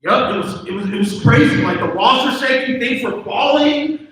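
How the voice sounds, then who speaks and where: A jittery, suspicious young boyfriend, acted by a man at a script read, with a high voice and a sharp, bright edge. He sounds exclamatory and is picked up from afar in a very echoey room. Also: expressive, moderate pace, far from the mic. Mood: feigned amazement. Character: Kevin, jittery suspicious young boyfriend covering up his alarm. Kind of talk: table read of comedic short film script. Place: live table read at an event in a room.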